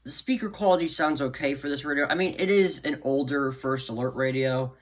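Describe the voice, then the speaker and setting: A man's voice: mid-range voice, steady tone, a little fast. A man giving a casual, unscripted product demo in a rambling, hesitant way, often trailing off into "so yeah". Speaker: hobbyist video maker. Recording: clearly noisy amateur home recording.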